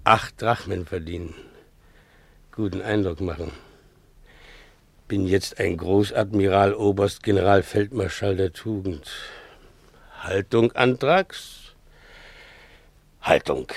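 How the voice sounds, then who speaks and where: A simple, boastful donkey driver for a radio play. His voice is lowish and gravelly, swooping widely as he mutters and exclaims in halting bursts. The sound is close and dry. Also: no room sound, exaggerated voice. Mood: muttering, self-coaxing. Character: simple, tipsy-prone male donkey driver, gullible, self-pitying, boastful. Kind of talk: German radio play acting.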